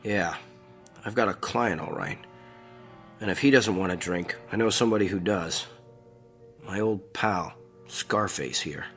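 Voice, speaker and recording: An American actor playing a troubled, brusque office executive, terse and probing, with sardonic irony in a naturalistic drama. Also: male voice, low voice, a little slow, pauses often, a bit bright, faint music behind. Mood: bitter, resigned.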